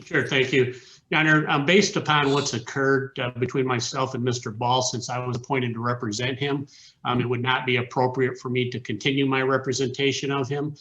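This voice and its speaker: A man's voice: medium pitch, level tone, fairly quick, fairly bright tone, lo-fi sound. A man who is a defense attorney, making a formal, careful statement to the court over a video call, with many ums.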